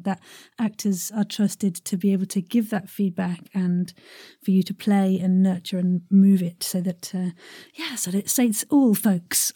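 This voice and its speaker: A woman's voice: medium pitch, warm tone, no room sound, studio quality. A woman hosting a casual interview, talking in an effusive, enthusiastic and wordy way. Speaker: podcast host.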